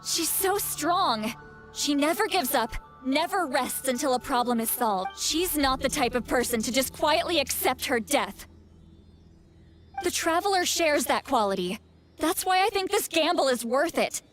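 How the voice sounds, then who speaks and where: Troubled, higher-voiced seer. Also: female voice, lively intonation, unhurried, a bit bright, soft background music. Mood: determined. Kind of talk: scripted video game voice acting.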